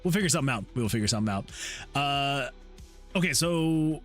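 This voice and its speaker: A man hosting a game development livestream, chatting casually with viewers and rambling excitably as he thinks out loud. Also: medium pitch, brisk. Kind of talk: casual chat with stream viewers while working. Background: background music.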